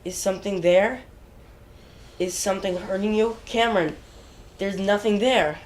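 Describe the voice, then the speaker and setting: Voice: medium pitch, fairly even intonation, measured pace, natural voice. A performer in a dramatic reading playing a concerned, loyal companion with an earnest, plain delivery. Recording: noisy room ambience.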